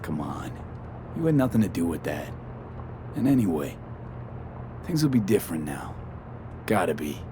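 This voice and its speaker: Deep-voiced weary mercenary V, noisy backdrop. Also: male voice, very animated, normal speed, pauses often. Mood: sombre. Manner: terse, clipped, understated. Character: world-weary young male cyberpunk mercenary, terse, wry, sincere. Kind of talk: naturalistic video game acting.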